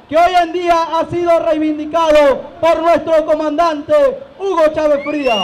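A man's voice: extremely high pitch, slight background noise, poor audio. Man declaiming a written statement. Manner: formal, declamatory reading. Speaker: community spokesman. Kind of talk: reading a statement aloud. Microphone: handheld microphone.

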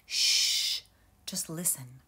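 A woman voicing a gentle, wise male wolf who teaches cubs, speaking slowly and often in a hushed whisper. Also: exaggerated voice. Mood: calm, hushed.

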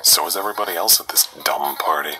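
A man's voice: extremely low pitch, fast, poor audio. A man voicing a wry, awkward, pun-loving skeleton slacker in a cartoon comic dub, hesitant and sardonic. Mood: wry, amused. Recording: music over played-back video.

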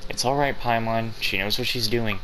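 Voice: medium pitch, normal speed, background noise. A gamer giving casual, joking playthrough commentary and reacting informally. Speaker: gaming streamer.

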